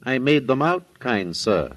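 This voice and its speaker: An actor playing a calm, authoritative, insistent male doctor in 1950s radio drama style, with a measured manner. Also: medium pitch. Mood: eerie, commanding. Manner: measured, commanding. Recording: vintage radio recording with music behind.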